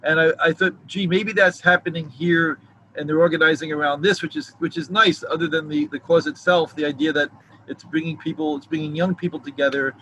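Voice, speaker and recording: A man's voice: on the high side, fairly even intonation, fairly quick, sharp tone, slight background noise, rough recording. Reflective, rambling man in his sixties. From New York City. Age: 60s. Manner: rambling, reflective, many fillers and restarts. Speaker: novelist, guest. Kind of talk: casual video interview.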